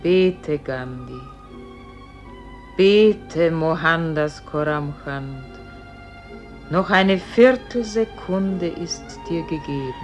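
An actress playing a solemn, gentle celestial voice that guides a soul, speaking in a measured, exalted, incantatory way in a radio play. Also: female voice, deep voice, expressive, faint music behind, heavily acted. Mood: gentle, grave. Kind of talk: solemn classic German radio play acting.

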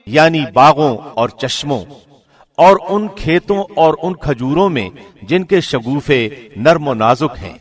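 A man narrating a scripture translation in a formal, measured, solemn announcer's delivery. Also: on the high side, expressive.

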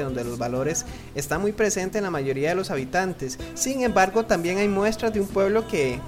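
Male interviewer, conversational questioning, strong music bed. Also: fairly high pitch. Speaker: interviewer, host. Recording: prominent music behind voice. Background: loud background music.

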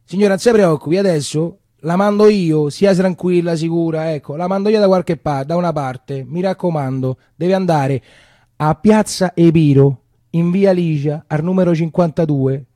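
An actor voicing a bossy but reassuring Roman communist neighbour, urging and repeating himself emphatically in a stage monologue. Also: male voice, fairly high pitch, moderate pace, dark tone, no room sound, clean studio sound, pitched above the performer's own voice, lightly acted.

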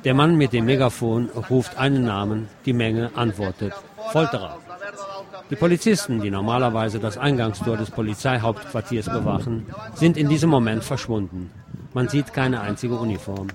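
A man's voice: medium pitch, normal speed. A man narrating a street protest report as a radio reporter. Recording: crowd noise behind the voice. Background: protest crowd and street sounds.

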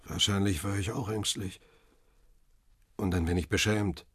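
An actor playing a lonely, melancholy middle-aged photographer who narrates a radio drama in a measured, reflective way. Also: male voice, fairly low pitch, fairly even intonation, a bit bright, slightly rough, dry recording, studio quality. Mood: awkward, tentative. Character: world-weary male photographer, lonely widower, melancholy. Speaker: first-person narrator and lead.

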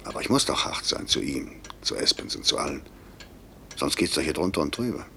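A stern, guilt-ridden airline boss in an old radio play. His voice sits very low and gravelly, and it swings widely between curt commands and brooding murmurs. The recording is a faintly hissy one from the fifties. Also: big pitch swings, brisk, bright, forceful tone, exaggerated voice. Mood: brooding, bitter. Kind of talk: 1950s German radio drama acting.

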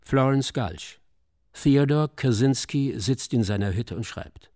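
A man's voice: medium pitch, slow pace, darkish tone. A man narrating a scripted, literary radio drama.

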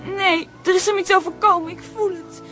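A woman's voice: very high voice, steady tone, brisk, music in the background. An actress playing a spirited young girl, urgent and anxious about her missing pet.

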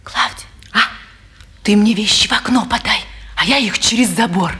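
A woman playing a furtive, businesslike accomplice in a 1950s Russian stage drama, hushed and conspiratorial. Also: mid-range voice, normal speed, close to an everyday voice. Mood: furtive, hurried.

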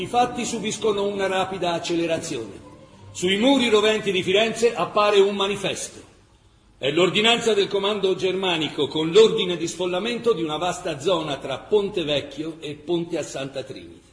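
A man narrating a dramatic historical chronicle in emphatic, measured radio-theatre style. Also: sits high, a bit bright. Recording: echoey reverberant hall, faint noise.

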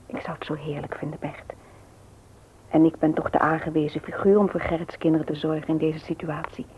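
An actress playing an earnest, gently persuasive married woman in a radio play, often hesitant and soft. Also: low-pitched, flat delivery, a little fast, highs cut off, a little hiss or hum, close to an everyday voice. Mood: hesitant, hopeful. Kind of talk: Dutch radio play acting.

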